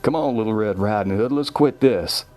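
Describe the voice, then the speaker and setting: A man's voice: lowish voice, moderate pace, a little mellow, soft background music, voice pushed up, close to an everyday voice. A man voicing a menacing, crazed backwoods predator, taunting in drawling slang. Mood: impatient menace.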